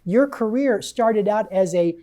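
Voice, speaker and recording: A man hosting an interview podcast, enthusiastic and quick with affirmations. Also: sits high, dark tone. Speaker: host.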